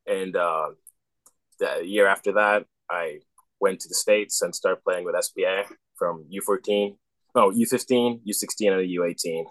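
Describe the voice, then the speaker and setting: A man's voice: deep voice, normal speed, a bit bright, no room sound, low-quality recording. A young man of about twenty from Sweden, answering interview questions politely and easily over a video call, with fillers and small restarts. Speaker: guest, junior hockey player. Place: basement room.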